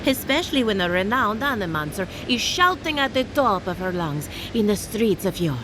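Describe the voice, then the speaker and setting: A woman acting as a cheerful, eccentric necromancer who farms the undead for a fantasy game. Her delivery is theatrical and breezy, swinging widely in pitch, doting yet matter-of-fact about gore. There is clear noise behind her. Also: very animated, moderate pace, close to an everyday voice. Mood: wry, chatty. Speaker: quest-giving farmer.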